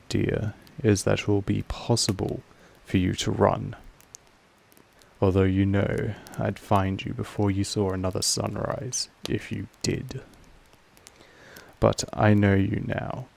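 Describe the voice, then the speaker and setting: A man playing a possessive vampire master in an intimate audio roleplay, speaking formally in a measured, softly menacing way with a gravelly creak. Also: lowish voice, a little hiss or hum, full character voice. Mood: possessive, quietly menacing. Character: possessive male vampire master, calm, commanding, quietly affectionate.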